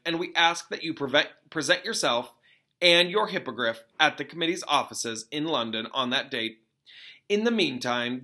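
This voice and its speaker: A man reading a storybook aloud as a teacher, pausing to explain things simply and casually. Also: medium pitch, bright, forceful tone. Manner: explains simply to young listeners.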